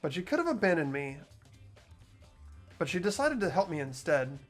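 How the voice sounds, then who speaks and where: Performer voicing an unsure, good-hearted teen boy; stammers, pitch swings widely. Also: very animated, normal speed, soft background music, lightly acted. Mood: earnest. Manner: hesitant, stammering, earnest. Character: earnest, hesitant teenage boy student. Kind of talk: amateur voiced game playthrough.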